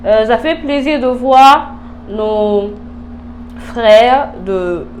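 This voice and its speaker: A woman's voice: a little high, extremely slow, darkish tone. A woman with a Congolese French accent hosting a casual interview, searching for words and mixing in English. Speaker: host, interviewer. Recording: clear background noise.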